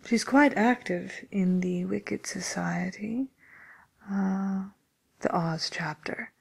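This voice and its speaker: A woman playing a genteel, businesslike matchmaker for villains in a whispered ASMR role play, murmuring politely and hesitantly in a breathy voice. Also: medium pitch, takes it slowly, exaggerated voice. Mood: matter-of-fact, discreet.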